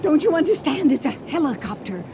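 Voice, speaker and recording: Devoted worried wife, high-pitched, breathless, emphatic, old-time radio melodrama, noisy aged recording. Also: female voice, very animated, fairly quick, vocal fry, mellow, exaggerated voice. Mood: urgent dread. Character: anxious, devoted wife caught at sea in a storm.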